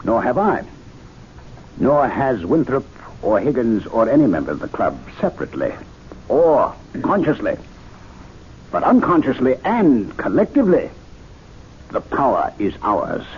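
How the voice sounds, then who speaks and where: An insistent, scholarly clubman in an old radio mystery play. His oratory swings dramatically up and down, each point pressed deliberately. The sound is dull, with a faint rumble of noise. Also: wildly swooping pitch, darkish tone. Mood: oratorical, intense. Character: learned, insistent clubman expounding theories of the uncanny.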